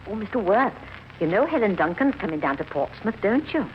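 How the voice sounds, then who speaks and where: An actress playing an eager, chatty British shopkeeper who promotes seances, gushing in 1950s radio drama style. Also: female voice, medium pitch, big pitch swings, a little fast, lightly acted. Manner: gushing, effusive. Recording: muffled, noisy old radio recording.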